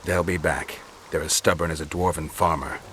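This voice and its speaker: A man's voice: a bass voice, fairly even intonation, normal speed, a bit bright, slight background noise. An actor playing a stoic, dry-witted monster-hunting swordsman in a fantasy video game, terse and wry. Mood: dry, composed.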